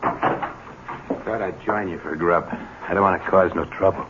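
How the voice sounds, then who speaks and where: A man's voice: sits low, steady tone, unhurried. An actor playing an old, humble ex-convict grandfather with a folksy, hesitant manner in a 1970s radio drama. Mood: humble, subdued. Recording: tinny, noisy old radio broadcast.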